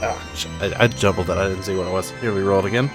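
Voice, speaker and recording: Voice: low-pitched, very fast, over music. A player chatting casually while playing a tabletop roleplay game. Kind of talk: casual chat.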